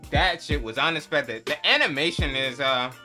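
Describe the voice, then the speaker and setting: A young man with a fairly high voice talks as a reaction host. He is hyped and casual, full of slang and swearing, with clear music sometimes running behind him. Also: moderate pace, bright, forceful tone. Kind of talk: casual reaction commentary to camera.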